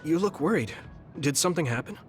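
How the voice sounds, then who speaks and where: A man's voice: on the high side, expressive, fast, close to an everyday voice. A man voicing an earnest, loyal young male soldier who speaks sincerely and reassuringly. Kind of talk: game cutscene dialogue. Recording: game audio with music behind.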